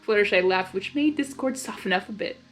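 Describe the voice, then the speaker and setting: A woman reading fan fiction aloud as expressive narration, with casual chatty asides. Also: medium pitch, a little fast, soft background music.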